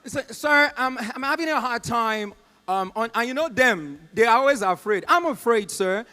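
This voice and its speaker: A Nigerian man with a high voice performs stand-up on stage. He tells a story and slips into short put-on voices for each person in it, with loud, punchy exclamations. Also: lively intonation. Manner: acts out callers and agents in quick put-on voices, loud exclamations. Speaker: stand-up comedian. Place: comedy club stage.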